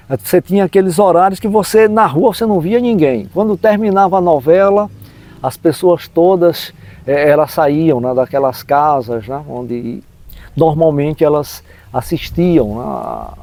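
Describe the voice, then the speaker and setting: A man's voice: on the high side, lively intonation, normal speed, mellow. A man in his sixties with a northeastern Brazilian accent, reminiscing in an explanatory way in an interview, often adding tag questions. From backlands of northeastern Brazil. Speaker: storyteller recalling local life, professor.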